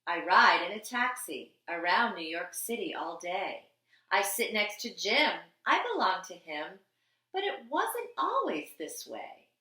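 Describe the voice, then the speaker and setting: Lady reading a kids book out loud, bright voice, slow and sing-songy, kinda roomy sound. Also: medium pitch. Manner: expressive, deliberate, sing-song rhyming delivery. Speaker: teacher reading a picture book aloud. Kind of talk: animated children's storybook reading.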